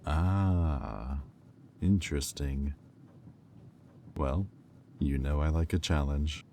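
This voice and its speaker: A man voices a haughty demon with an extremely low voice and a slow, deliberate delivery. He is sardonic and condescending, in the manner of an audio roleplay villain, over faint background noise. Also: dark tone, heavily acted. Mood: cool, probing. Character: haughty, sardonic male demon bidder at auction.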